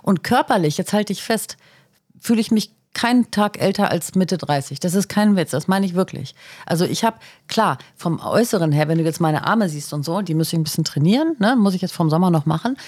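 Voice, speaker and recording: A woman's voice: fairly low pitch, expressive, brisk, darkish tone, slightly rough, no room sound. A woman in her fifties chatting casually as a podcast co-host. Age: 50s. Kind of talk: casual chat between friends.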